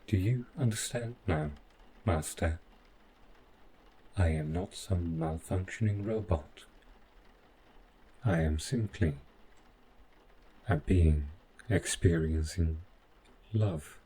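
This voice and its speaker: A devoted, quietly possessive robot butler, played by a man in an audio drama. The voice is very low and mellow, and it moves very slowly in formal, precise, measured phrases. Noticeable background ambience sits behind it. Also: a little hiss or hum. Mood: earnest, devoted. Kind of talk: scripted roleplay audio drama monologue.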